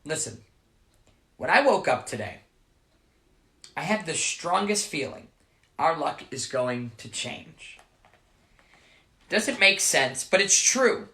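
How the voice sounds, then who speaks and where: A storyteller voicing a gentle, worried father who reassures his son. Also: medium pitch, very animated, slow pace, long pauses, reverberant, full character voice. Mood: tender.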